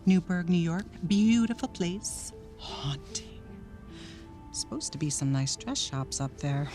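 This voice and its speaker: An actress playing a playful, restless 1930s wife chattering to herself in a period audio drama, singsong and coy, drifting into murmurs. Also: female voice, lowish voice, animated, slow. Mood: wistful, sly. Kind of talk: period radio drama acting. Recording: loud music behind the voice.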